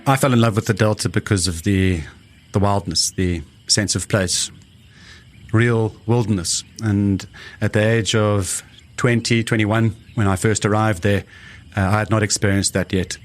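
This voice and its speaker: A middle-aged man with a South African accent, telling his own story reflectively, pausing for emphasis. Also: lowish voice, fairly even intonation. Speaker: conservationist and researcher, interviewee. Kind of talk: personal storytelling in an interview.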